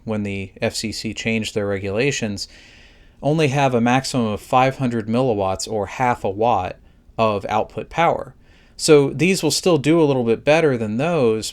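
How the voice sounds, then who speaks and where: A man narrating a scripted product review in a plain, methodical, instructional way. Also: mid-range voice.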